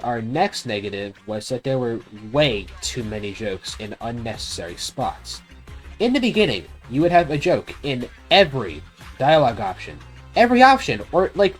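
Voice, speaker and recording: Animated man giving blunt game commentary. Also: mid-range voice. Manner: blunt, casual, swearing. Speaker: video game review host. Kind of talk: opinionated review commentary.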